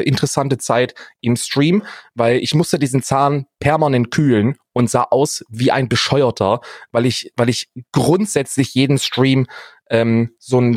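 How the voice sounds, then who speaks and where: A man's voice: mid-range voice, no echo. Man telling self-deprecating stories, dry close sound. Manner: self-deprecating, dramatic exaggeration. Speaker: podcast co-host. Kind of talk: casual personal storytelling.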